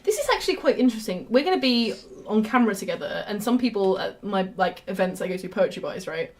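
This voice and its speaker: A woman chatting as a podcast guest, telling stories with lively acted-out dialogue. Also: medium pitch, quick pace. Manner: animated storyteller who acts out quoted dialogue. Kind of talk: casual chat.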